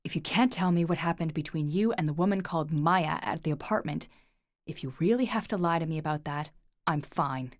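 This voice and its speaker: A woman's voice: sits low, brisk, dry recording, studio quality, close to an everyday voice. A woman voicing an intense, protective young man who speaks emphatically. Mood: pleading. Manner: pleading, emphatic.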